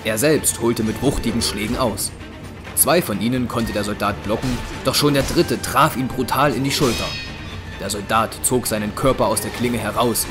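A man narrating a scripted dramatic action story as the narrator of an audio drama. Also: mid-range voice. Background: music.

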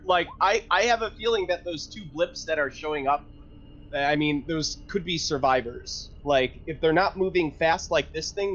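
A man's voice: mid-range voice, a bit bright, muffled recording. A man chatting casually and informally as a player in a tabletop game. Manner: sweary, informal. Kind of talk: casual chat.

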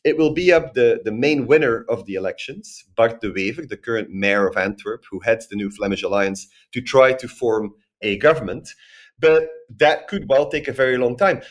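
Man explaining in lively runs. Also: mid-range voice, moderate pace. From Belgium. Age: 40s. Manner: lively, lectures in long structured runs with tag questions. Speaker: guest expert. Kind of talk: podcast interview, analytical explanation. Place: remote recording in Belgium.

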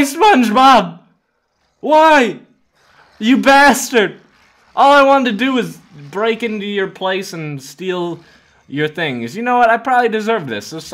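A young man in his twenties hosts a gameplay video in a high voice that swings widely in pitch. He reacts dramatically and jokes along, talking to the game characters as he plays. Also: bright, forceful tone. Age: 20s. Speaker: gaming video host. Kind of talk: excitable gameplay commentary.

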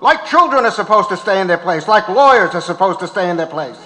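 A man's voice: sits high, lively intonation, a little fast, pushed and bright. A man playing a defiant, indignant old activist on trial in a staged reading of a court transcript. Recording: reverberant auditorium.

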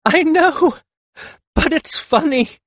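A man voicing a lively, mischievous young woman in an audiobook, in an animated way. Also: extremely high pitch, takes it slowly, no echo, pitched above the performer's own voice, exaggerated voice. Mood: giggling, amused.

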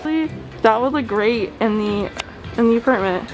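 A young adult woman, chatting casually and excitably as a vlogger out shopping. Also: on the high side, moderate pace. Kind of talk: casual chat while shopping. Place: shops inside a mall. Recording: music mixed in behind.

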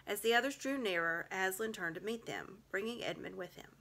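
A woman's voice: medium pitch, fairly quick, bright, forceful tone. A middle-aged woman reading a children's story aloud at home. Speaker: reader. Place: small home room, indoors.

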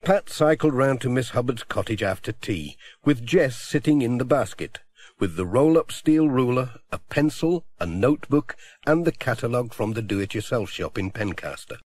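A man's voice: mid-range voice, animated, moderate pace, a little mellow. A middle-aged man reading a children's storybook aloud as narrator. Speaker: audiobook narrator. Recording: dry close audiobook recording.

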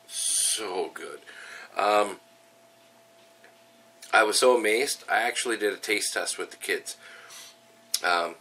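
A man's voice: low voice, pushed and bright, distant mic. A middle-aged man with a stuffy nose from a head cold, chatting casually and upbeat through a home brewing vlog. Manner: chatty, upbeat, with frequent ums and uhs. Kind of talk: casual how-to and tasting vlog. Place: home kitchen, then small room at home.

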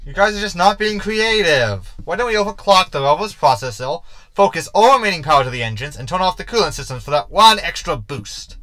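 A man's voice: high voice, very animated, fairly quick, few pauses, pushed and bright, no echo, exaggerated voice. A man playing a cocky, sarcastic shapeshifting ship's hologram in a science fiction comedy audio drama, with wordy delivery. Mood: sardonic.

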